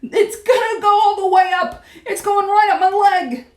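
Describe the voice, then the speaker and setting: Woman putting on a shrieking, panicking man, very high-pitched. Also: normal speed, echoey room. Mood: panicked. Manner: frantic, yelling and screaming. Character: panicking, shrieking grown man, a kitchen cook. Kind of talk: dramatised storybook reading.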